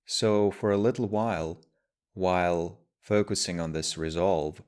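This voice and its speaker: A man guiding a meditation as a teacher, speaking in measured, deliberate phrases with pauses. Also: sits low, darkish tone, smooth. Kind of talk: guided meditation, slow spoken instruction.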